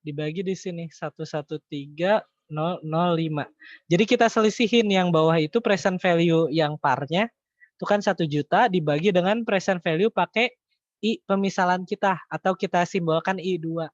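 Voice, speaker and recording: A man teaching an informal online lesson, thinking aloud through figures in a halting way and checking with his listeners. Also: high-pitched. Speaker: accounting tutor. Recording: echoey room, dull lo-fi online-call audio.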